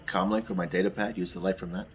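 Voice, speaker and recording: A man playing a tabletop game, talking casually through his actions in a deliberate, explanatory way. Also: deep voice, fairly even intonation, background noise.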